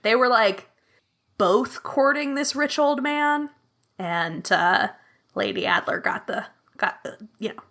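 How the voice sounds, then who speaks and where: A woman playing a solo tabletop game as guest, expressive and playful, laughing often. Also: a little high, slow pace. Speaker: player, guest. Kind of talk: casual play and improvised storytelling. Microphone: remote call with headphones.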